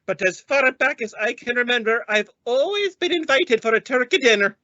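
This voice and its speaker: A woman's voice: fairly high pitch, expressive, normal speed, pushed and bright, no echo. A woman playing a feisty, vain, easily offended landlady with a blustering manner in a radio comedy. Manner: blustering, indignant, swooping. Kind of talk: old-time radio comedy acting. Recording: dull lo-fi recording.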